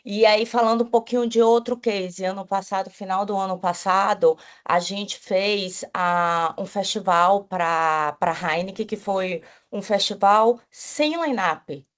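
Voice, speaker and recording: A woman's voice: medium pitch, measured pace, hardly any pauses. A woman from Bahia, speaking as an agency executive on a panel, enthusiastic, with frequent restarts and tag questions. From Bahia, Brazil. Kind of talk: panel talk.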